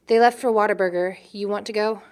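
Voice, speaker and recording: A woman plays a young party guest in a film drama. She talks casually and drily, at a middling pitch for a woman. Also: steady tone, fairly quick, a little mellow, clear, resonant tone, lightly acted. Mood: dry. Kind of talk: film dialogue.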